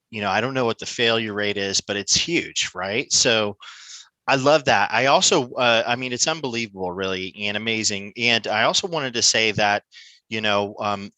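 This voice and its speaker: A man's voice: fairly low pitch, sharp tone, no echo, low-quality recording. A man hosting a podcast, reading an upbeat intro and then chatting effusively with his guest, full of "you know"s. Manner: enthusiastic, effusive, rambling with many "you know"s. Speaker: podcast host. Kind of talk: scripted intro, then casual interview chat.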